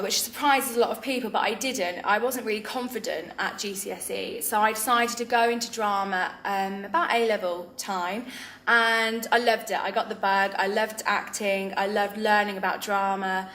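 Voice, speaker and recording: A woman's voice: mid-range voice, moderate pace, fairly bright tone. Earnest young English woman, roomy echo. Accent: English Midlands. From England. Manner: earnest, reflective, frequent ums. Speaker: founder of a drama ministry. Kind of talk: interview testimony.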